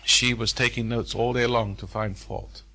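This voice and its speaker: A man's voice: mid-range voice, little pitch movement, moderate pace, bright, forceful tone. An older man speaking to a live seminar audience in a hotel room, folksy and preacherly, repeating phrases for emphasis.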